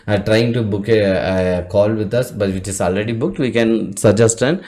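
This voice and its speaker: A man presenting an unscripted software walkthrough, speaking haltingly and often repeating words and phrases as he goes. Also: lowish voice. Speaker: tutorial presenter.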